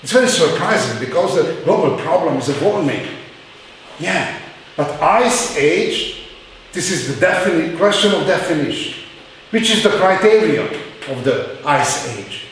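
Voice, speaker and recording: Hungarian-accented lecturer in an echoey hall. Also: male voice, a little high, expressive, fairly bright tone, a little hiss or hum. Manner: halting non-native English with many fillers. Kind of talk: university lecture. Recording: very echoey hall, mic far off.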